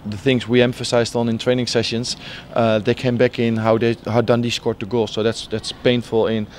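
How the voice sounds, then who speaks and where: Man in his forties, flat halting delivery. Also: mid-range voice, a little hiss or hum. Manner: halting, many fillers and restarts. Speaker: football head coach. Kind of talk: post-match interview. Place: outdoors pitchside at a football stadium. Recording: faint outdoor stadium ambience.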